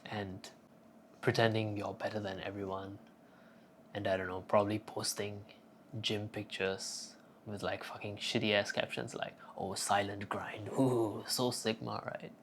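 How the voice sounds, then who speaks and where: A young man talks straight to camera with cocky, crude humor, handing out blunt advice. His voice sits low and carries a gravelly fry. Faint noise hums underneath. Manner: cocky, profane, joking bravado. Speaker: self-improvement vlogger. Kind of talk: casual crude advice monologue.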